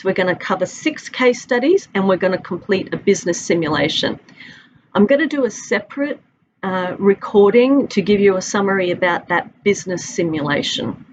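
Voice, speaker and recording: A woman's voice: mid-range voice, highs cut off, a little hiss or hum. A middle-aged Australian woman, a university instructor recording a friendly, conversational course introduction from her office. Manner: friendly, conversational, stretches out listed items. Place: office with a large window. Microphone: webcam at desk.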